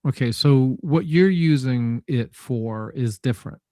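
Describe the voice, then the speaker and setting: Guy chatting tech on a show, mid-pitched voice, kinda halting, thinks out loud, no room echo. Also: studio quality. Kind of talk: casual tech discussion.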